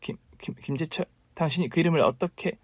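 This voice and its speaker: A man voicing an arrogant, mocking younger sister-in-law in a spoken story, whose sharp talk turns to stammering fear. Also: a little high, lively intonation, fairly quick, no echo, natural voice. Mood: frightened.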